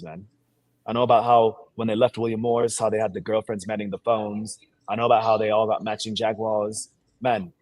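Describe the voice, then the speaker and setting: Husky, low-pitched man with put-on British accent. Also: a little mellow, dry recording, rough recording. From born in Sierra Leone, grew up in Seattle. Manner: confident, emphatic, boastful, slangy. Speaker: actor being interviewed. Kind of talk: animated self-promotional interview talk.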